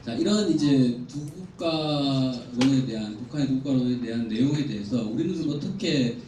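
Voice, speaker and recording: A man delivers a formal academic presentation in a very echoey hall, heard at some distance from the microphone. His voice is mellow and middling in pitch. He explains in a measured, orderly way, with faint noise behind him. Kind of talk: conference presentation from slides. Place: indoor conference hall.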